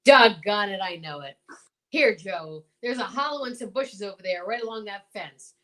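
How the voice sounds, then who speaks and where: Woman as a blustering country marshal, wildly animated. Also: medium pitch, noisy background, lightly acted. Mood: exasperated. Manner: folksy, blustering, halting exclamations. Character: gruff, stubborn small-town male marshal on a manhunt. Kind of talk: amateur old-time radio acting.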